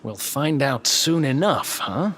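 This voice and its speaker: Wry, lively-pitched young adventurer. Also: male voice, slow, a little hiss or hum. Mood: resolute, wry. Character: wry, resolute young male adventurer in the hero's party. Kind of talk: video game cutscene acting.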